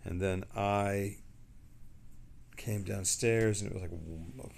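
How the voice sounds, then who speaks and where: A middle-aged man who sounds tired, chatting casually on a livestream in a rambling, halting way and trailing off mid-sentence. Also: lowish voice, gravelly, a little mellow, slight background noise.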